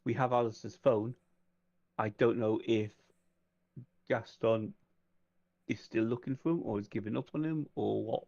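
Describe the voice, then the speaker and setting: A man playing in a tabletop roleplay game, speaking in character in a polite, measured, conversational way. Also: lowish voice, fairly even intonation, gravelly, a little mellow, no echo, highs cut off.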